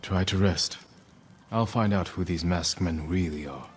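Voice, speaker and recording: A man acting a stoic young samurai lord in a video game cutscene, speaking in a measured, grave, terse way. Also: deep voice, darkish tone. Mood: grave, steady.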